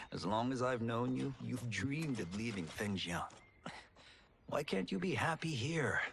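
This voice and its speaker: An actor playing a humble, contented young farmer in a video game, calm, earnest and gently teasing. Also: male voice, medium pitch, gravelly, background noise. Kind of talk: cinematic video game acting.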